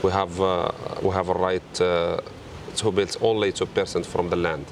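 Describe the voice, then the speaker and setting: A man with a Moroccan accent speaks in a very deep voice, working through English in halting pieces and hunting for the right word. Faint outdoor noise hums behind him. He keeps to a casual, conversational tone. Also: little pitch movement, vocal fry. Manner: halting, searching for English words. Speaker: hotel co-owner. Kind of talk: casual interview chat.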